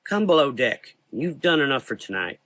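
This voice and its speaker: A bold, caring young woman from a gothic horror audio play, acted by a man. The voice is lifted in pitch and bright, with a blunt, questioning, informal way of talking. It is recorded dry and close. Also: big pitch swings, normal speed, no echo. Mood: caring, brisk.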